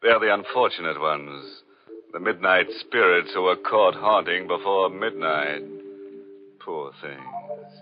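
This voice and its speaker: An actor playing a jovially macabre horror host, theatrical and mock-sinister in a 1940s radio style. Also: male voice, deep voice. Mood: gleefully macabre. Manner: mock-sinister, punning, drawn-out theatrical delivery. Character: ghoulish, jovially macabre male host of a creaking-door crypt. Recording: muffled 1940s radio broadcast, organ music. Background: music.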